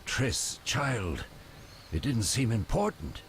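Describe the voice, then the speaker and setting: A man playing a stern old mentor of monster hunters in a video game, measured and commanding. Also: medium pitch, big pitch swings, creaky, heavily acted. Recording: game audio with faint background noise.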